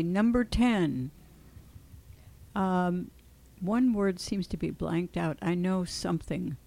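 Slow-reading woman quizzing. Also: mid-range voice, darkish tone, no echo. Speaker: quiz reader. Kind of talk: reading movie quotes in a radio quiz.

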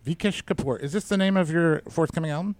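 A man from New York hosting a casual radio interview, upbeat and jokey, quick to chime in. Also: on the high side, no room sound. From Yonkers, New York. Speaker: radio show host.

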